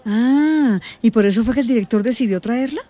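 A woman's voice: on the high side, moderate pace, dark tone, close to an everyday voice. An actress playing a protective, determined and affectionate mother with a Colombian accent in a radio drama. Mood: concerned.

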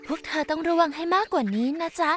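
A woman voicing a gentle, shy young female pegasus pony in a children's cartoon dub, soft-spoken and tender. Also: high-pitched, quick pace, close to an everyday voice. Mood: gentle, kindly. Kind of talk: dubbed children's cartoon acting. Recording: music behind the voice.

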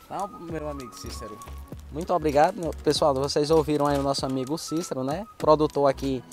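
Higher-pitched male interviewer. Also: brisk, darkish tone. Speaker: interviewer, channel host. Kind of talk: casual interview. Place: outdoors on a farm. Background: music.

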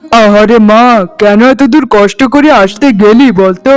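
A man voicing a tired, gentle middle-aged farmer father in a cartoon, weary and fatherly. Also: sits high, moderate pace, clear, resonant tone, no echo, soft background music, natural voice. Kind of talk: cartoon voice acting.

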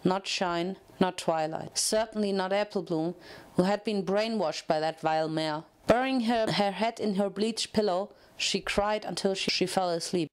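A woman reading fiction aloud as a narrator, in an expressive, dramatic storytelling manner. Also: medium pitch, normal speed.

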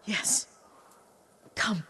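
A woman playing an elderly, loyal household retainer in a dramatic video game, with a soft, tender and deferential way of speaking. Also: drawn-out words. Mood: solemn, troubled. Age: older.

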